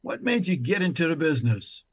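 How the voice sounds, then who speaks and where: A man's voice: fairly high pitch, very animated, a little fast. A man hosting a talk show, introducing a guest formally and asking interview questions. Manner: courteous and formal.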